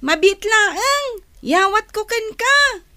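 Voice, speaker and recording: A woman's voice: extremely high pitch, animated, slow, pushed and bright, no echo, full character voice. A woman voicing an eager, whiny young child in an Ilocano radio drama. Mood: playful.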